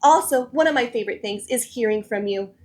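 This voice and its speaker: A woman hosting a children's read-aloud show, addressing young viewers in a friendly, animated, encouraging way. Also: fairly high pitch, fairly quick. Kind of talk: scripted presenting to children.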